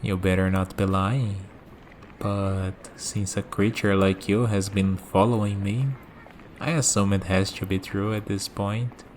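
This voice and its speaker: A man playing a calm, curious villain in a scripted ASMR roleplay, musing and dryly teasing as he talks. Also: lowish voice, a little slow, slight background noise, lightly acted. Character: calm, curious young male villain bargaining with a death god.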